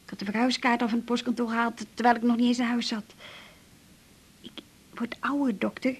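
A lovestruck, slightly resigned woman patient in a radio play. She speaks in a fairly high, husky voice, confiding in a chatty yet hesitant way. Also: level tone, fairly quick. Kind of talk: naturalistic radio drama acting.

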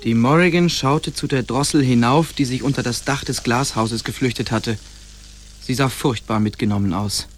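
A man's voice: mid-range voice, normal speed, slight background noise, natural voice. A man narrating a radio play in a scripted storytelling style.

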